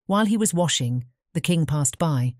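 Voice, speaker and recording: Storybook narrator: British woman, deep mellow voice, dry close recording. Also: lively intonation, moderate pace, dry recording. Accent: British English. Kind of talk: scripted fairy-tale narration.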